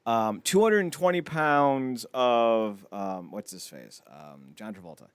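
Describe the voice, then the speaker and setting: A man's voice: medium pitch, animated, slow pace, clean tone, no echo. Rambling, blunt man chatting on a podcast. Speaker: podcast host. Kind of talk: casual podcast chat about films.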